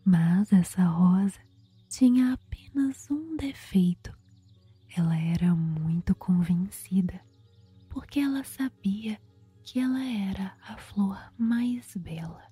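A woman with a Brazilian accent whispers in a soothing ASMR style as she guides relaxation and tells a bedtime story, with long pauses. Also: medium pitch, warm tone, no echo. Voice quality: breathy, whispery. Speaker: guided meditation host and storyteller. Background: background music.

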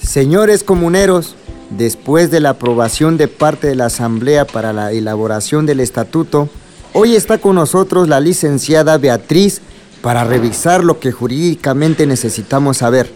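Actor as higher-voiced, earnest village assembly leader. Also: male voice, normal speed, a little mellow, soft background music, lightly acted. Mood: formal, welcoming. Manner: formal, courteous, addressing a meeting. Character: earnest male village communal lands commissioner chairing an assembly. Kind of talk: radio drama acting.

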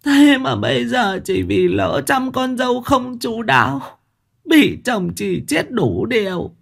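A man voicing a sharp-tongued, sulky older village wife who whines, scolds and wails. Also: very high voice, big pitch swings, a little slow, vocal fry, pitched above the performer's own voice, full character voice. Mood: wailing. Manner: whining, scolding, wailing.

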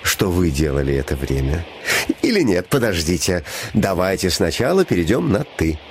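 Low-voiced, naive young scholar. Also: male voice, very animated, moderate pace, a little hiss or hum. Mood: warm welcome. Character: trusting, kindly, idealistic young male scholar. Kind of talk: theatrical fairy-tale play acting.

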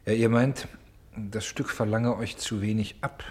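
A man acting as an insistent, solemn narrator and director in a German radio play, speaking deliberately with emphatic pauses. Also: lowish voice, fairly even intonation, a little mellow, roomy sound, natural voice. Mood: earnest, explaining. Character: solemn, insistent male stage narrator presenting a grave play. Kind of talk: theatrical German radio play, addressing the audience.

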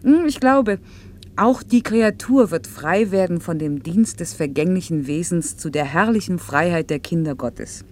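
A middle-aged woman playing a harried, strict working mother in a naturalistic German radio play, with brisk, clipped delivery. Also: fairly low pitch, expressive, a little mellow, a little hiss or hum. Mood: reflective.

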